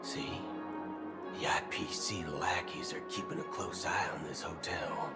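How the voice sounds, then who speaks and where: A man's voice: low voice, fairly even intonation, unhurried. A male actor playing a brash, shrewd cowboy gunslinger in a video game, with a folksy, slangy way of talking. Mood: cocky, scheming. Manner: folksy, dropping casual slang and softened swears. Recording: game music behind the voice. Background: game soundtrack music.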